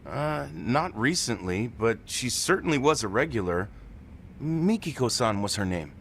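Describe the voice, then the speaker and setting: A man's voice: medium pitch, very animated, a little slow, fairly bright tone, a little hiss or hum. An actor playing a mild, hesitant male shop worker who chats politely in a game cutscene dub. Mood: fond recollection.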